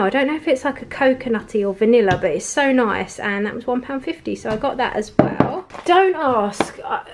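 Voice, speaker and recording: Chatty woman showing off groceries. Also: a little high. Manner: chatty, rambling, laughs often. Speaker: vlogger. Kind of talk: casual grocery haul chat. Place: home, at a table of groceries. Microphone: camera pointed at the table, speaker off screen.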